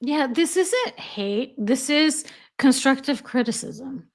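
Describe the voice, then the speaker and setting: An American woman hosting a casual chat, telling stories quickly and laughing often. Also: high voice, expressive. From United States. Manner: chatty, quick storytelling with frequent laughs. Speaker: host.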